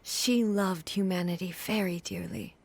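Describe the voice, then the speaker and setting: A woman playing a solemn, dignified female ruler in a video game, speaking in a formal, measured and earnest way. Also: mid-range voice, darkish tone, slight background noise, close to an everyday voice. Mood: tender, reflective. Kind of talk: scripted video game dialogue. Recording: music behind the voice. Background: game music.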